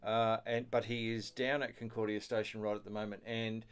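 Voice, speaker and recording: A man's voice: on the low side, little pitch movement, fairly quick, smooth. Chatty man hosting a radio hobby show. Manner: affirming, often chuckles as he talks. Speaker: host of an amateur radio show. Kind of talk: casual chat about ham radio. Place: studio.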